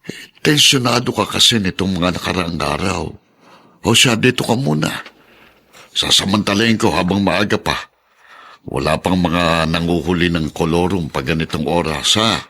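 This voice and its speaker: A man playing a weary older husband with a deep voice. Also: moderate pace, vocal fry, pushed and bright, slightly rough, heavily acted. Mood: resigned. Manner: resigned, plain-spoken. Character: older husband, weary tricycle driver, resigned.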